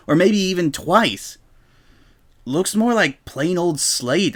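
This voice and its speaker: A man's voice: mid-range voice, a bit bright. A man voicing a brash, boastful young female pegasus pony in a story reading, with a casual, grumbling, self-important manner.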